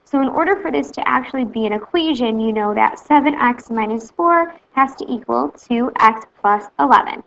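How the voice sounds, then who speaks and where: A woman teacher calmly explaining math steps in a recorded video lesson. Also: a little high, normal speed, creaky, hardly any pauses, dull audio, a little hiss or hum. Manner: plain, steady step-by-step explaining.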